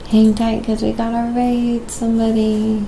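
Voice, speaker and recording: A soft-spoken woman chatting casually and unhurriedly on a livestream, narrating her ASMR painting and thanking viewers. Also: on the high side, completely flat delivery, unhurried, a little hiss or hum, poor audio. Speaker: live streamer, painting artist.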